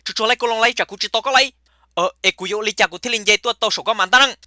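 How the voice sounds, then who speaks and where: A man's voice: sits high, racing, bright, forceful tone, no echo, clean studio sound. A man voicing a talkative male character in a comic cartoon. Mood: chatty. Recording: clear background noise.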